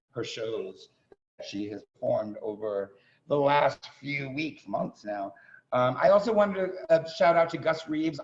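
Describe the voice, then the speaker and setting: A man hosting a show with an informal welcome speech, halting and often repeating his words. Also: mid-range voice, creaky, a little mellow. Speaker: host introducing a theatre show. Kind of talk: informal welcome speech with thanks and shout-outs. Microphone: video call. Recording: muffled video call audio.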